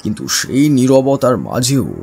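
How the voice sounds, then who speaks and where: A man playing a wary, inquisitive investigator, narrating an eerie audio drama in a husky, gravelly voice with dramatic, deliberate pauses. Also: mid-range voice, expressive, a little mellow, faint music behind. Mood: puzzled, tense. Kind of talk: suspenseful first-person horror story narration.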